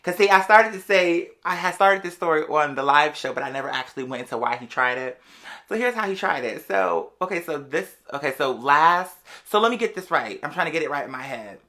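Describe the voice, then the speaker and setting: Bright, sassy storyteller in full gossip mode. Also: mid-range voice, fairly quick. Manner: animated, sassy, slangy, addressing listeners as "girl". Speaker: podcast or live show host. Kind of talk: casual storytelling monologue to an audience.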